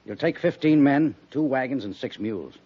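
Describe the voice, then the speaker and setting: An American man playing a brisk, stern but understanding army major in a 1950s radio drama. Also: mid-range voice, animated, breathy edge. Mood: firm, official. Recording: muffled 1950s radio recording.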